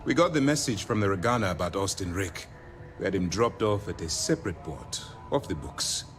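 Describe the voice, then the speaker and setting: An actor playing a composed, authoritative space navy commander in a video game, formal and measured. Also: male voice, low-pitched, expressive, faint music behind. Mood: measured, approving.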